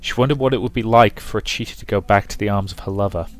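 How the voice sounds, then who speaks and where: A man's voice: fairly low pitch, quick pace, faint music behind. A man commentating on and reading aloud from a visual novel as a let's play host.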